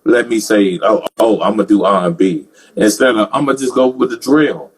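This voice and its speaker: A man's voice: fairly low pitch, moderate pace, slightly rough. A man hosting a casual interview who jokes and often repeats words as he starts his questions. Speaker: interviewer host.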